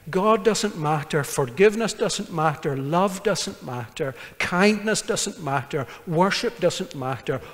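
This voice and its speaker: Man, middle-aged, pastor, sermon, emphatic, slow delivery, church reverb. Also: fairly high pitch. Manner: emphatic preaching with gestures, rising to forceful repetition. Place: church sanctuary, standing at a pulpit. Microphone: pulpit microphone.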